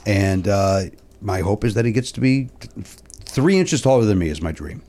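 Chicago-accented man, lively pitch. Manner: rambling, halting with fillers. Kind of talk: casual comedic chat.

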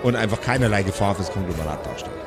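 A man's voice: fairly low pitch, lively intonation, fast, loud music behind the voice. A man narrating an improvised pen-and-paper audio play as game master.

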